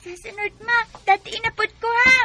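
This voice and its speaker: A small Bisaya Filipino girl speaks in a high, childlike voice. She is sweet and hard-up, talking in short simple phrases as in a radio drama. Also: fairly even intonation, fairly quick, bright, forceful tone, slight background noise, full character voice. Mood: eager, innocent. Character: sweet, hard-up little girl, devoted to her mother.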